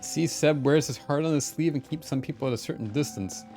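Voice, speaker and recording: A streamer giving casual, chatty live commentary on a game, reading along and reacting in an animated way. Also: medium pitch, a little fast. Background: faint game music.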